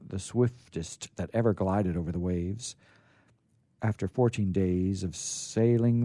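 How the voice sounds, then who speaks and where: A man's voice: fairly low pitch, warm tone, no echo. A man hosting a radio show, chatting casually and reading old stories aloud.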